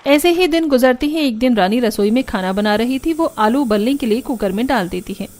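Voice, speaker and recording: A woman's voice: fairly high pitch, expressive, a little fast, darkish tone. A woman narrating a scripted cartoon family story.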